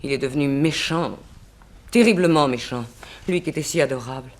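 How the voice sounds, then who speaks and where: An actress playing a pretty, worried young wife who pleads politely and hesitantly in a 1960s French radio serial. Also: female voice, extremely low pitch, a little fast. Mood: plaintive, worried.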